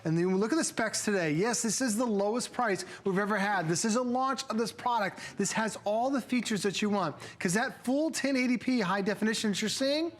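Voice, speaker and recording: A man's voice: high voice, a little fast, hardly any pauses. A man presenting a product live on air in an enthusiastic, conversational, storytelling way. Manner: enthusiastic, anecdotal.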